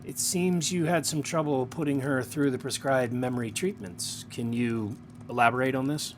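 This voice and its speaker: A stern male superior played by a man questions his subordinate in an audio drama. He is clipped, pointed and quietly menacing, speaking at a middle pitch. A faint noisy hum sits behind the voice. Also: moderate pace, close to an everyday voice. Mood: interrogating, stern. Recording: noticeable background hum and noise.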